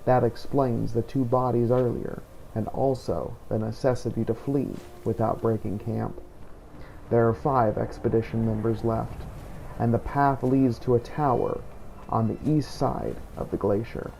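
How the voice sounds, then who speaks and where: Gravelly, mellow male story narrator. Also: mid-range voice, fairly even intonation, measured pace, slight background noise, low-quality recording. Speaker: playthrough narrator. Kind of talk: scripted in-character story narration.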